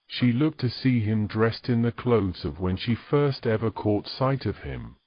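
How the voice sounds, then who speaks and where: A man's voice: fairly low pitch, lively intonation, unhurried, no room sound, highs cut off. A man reading scripted narration for a romantic fantasy story as its narrator.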